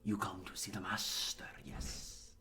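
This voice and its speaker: Whispering, halting hive servant creature. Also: male voice, low-pitched, little pitch movement, sharp tone, reverberant, heavily acted. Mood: reverent, eerie. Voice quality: breathy, hissing whisper. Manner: slow, halting, solemn, speaks in short phrases. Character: creepy, devoted hive-minded servant creature, one of many.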